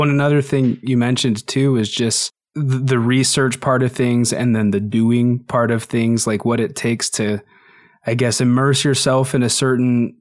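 A man's voice: mid-range voice, a little mellow. A man from Utah and Idaho hosting a podcast, encouraging and thinking aloud with restarts. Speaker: podcast host. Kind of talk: casual podcast conversation.

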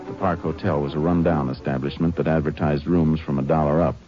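Brief: a low calm lawman narrator, muffled old radio, music under. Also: brisk. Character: steady male Texas Ranger narrating his case. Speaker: narrator and lead. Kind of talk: 1950s radio drama narration.

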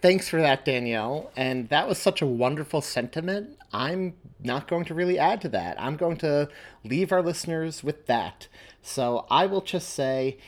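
A man's voice: a little high, expressive, moderate pace. Male podcast host reading show announcements.

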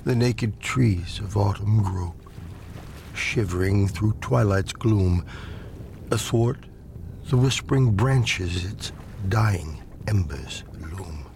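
Deep, slow man reciting poetry dramatically. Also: creaky, darkish tone, slight background noise. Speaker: poetry reader. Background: ambient sound design.

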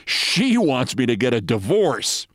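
A man's voice: medium pitch, big pitch swings, a little slow, no echo, clean studio sound, voice pushed up. A man voicing a petulant, henpecked middle-aged husband who stands on his dignity. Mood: peevish.

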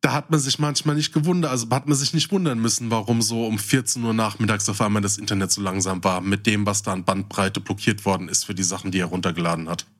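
A man's voice: lowish voice, steady tone, quick pace, few pauses. A man co-hosting a podcast, chatting casually in a colloquial, wry way. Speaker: podcast co-host. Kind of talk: casual chat.

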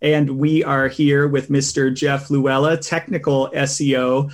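A man hosting a podcast in relaxed, rambling casual chat, with a tenor voice and many fillers. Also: mid-range voice, unhurried. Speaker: podcast host.

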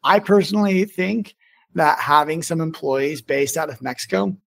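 Male podcast host, slightly high voice, informal and rambling, conversational interview delivery. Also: normal speed, vocal fry, a bit bright. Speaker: podcast host and business owner.

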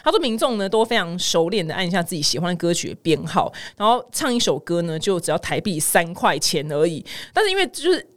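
A woman's voice: lowish voice, sing-song, fast talker, few pauses. A middle-aged woman with a Taiwanese Mandarin accent hosts casual news commentary with personal anecdotes, chatty, colloquial and exclamatory. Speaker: podcast host.

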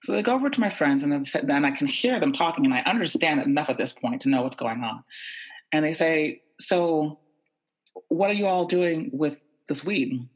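A woman's voice: low voice, normal speed, rough recording. A young woman telling a personal anecdote, animatedly voicing the people in it. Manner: lively, animated quoting of others. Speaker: storyteller. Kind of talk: personal anecdote told to an audience.

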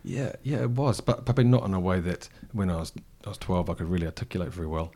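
A man's voice: low voice, quick pace, dark tone. A middle-aged man with a New Zealand accent, thoughtfully recalling his past as an interview guest, often restarting his sentences. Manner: thoughtful, frequent restarts and fillers. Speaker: interview guest, cafe co-founder. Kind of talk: reflective conversational interview.

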